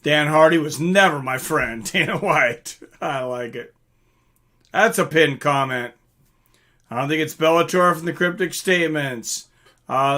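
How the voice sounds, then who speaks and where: A man's voice: fairly high pitch, pushed and bright. A man hosting a live stream in a casual, rambling, mildly profane way, chatting and answering viewer comments.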